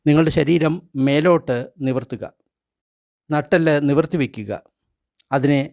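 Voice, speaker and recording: A man leads a guided meditation in a slightly high voice. He reads measured instructions in short phrases, leaving pauses between them. The sound is dry and close. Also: darkish tone, dry recording. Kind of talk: guided meditation, read from a translated script.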